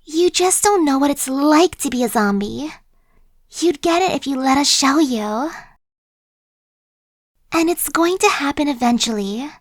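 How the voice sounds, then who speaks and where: A woman's voice: sits high, expressive, a little slow, pauses often, dry recording, studio quality. A woman voicing a chatty, friendly female zombie who coaxes and persuades in a casual, sly way, in an ASMR roleplay. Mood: persuasive.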